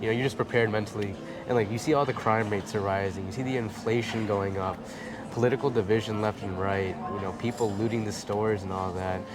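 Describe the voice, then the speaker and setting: A man's voice: fairly low pitch, fairly even intonation, fairly quick. A man in his twenties with an American accent, a guest explaining things in casual chat and repeating phrases for emphasis. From Chicago suburbs, United States. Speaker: interview guest, expat in the Philippines. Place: large bright indoor lounge with tall windows. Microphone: clip-on lapel mic. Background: music in the background.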